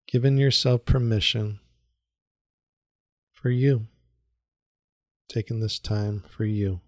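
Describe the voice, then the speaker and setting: A man leading a guided meditation in a soothing, unhurried manner with long pauses and repeated phrases. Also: fairly low pitch, fairly even intonation, no echo, studio quality. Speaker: meditation guide, yoga teacher. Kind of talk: guided meditation, calm instruction.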